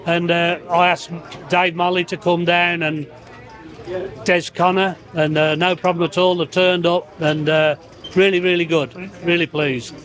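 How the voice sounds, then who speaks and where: Flat-voiced man telling a story. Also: high-pitched, measured pace. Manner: relaxed storytelling full of "uh". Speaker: museum owner, event exhibitor. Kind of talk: casual interview. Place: marquee at an outdoor bike event.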